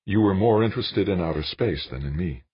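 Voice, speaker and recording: Audiobook role: anguished woman in love, earnest, raised voice pitch, slightly husky male reader. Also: lively intonation, brisk, no echo, lo-fi sound, exaggerated voice. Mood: strained, reproachful. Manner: earnest, pleading. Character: adult woman politician, anguished, torn, pleading lover. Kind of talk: audiobook character dialogue.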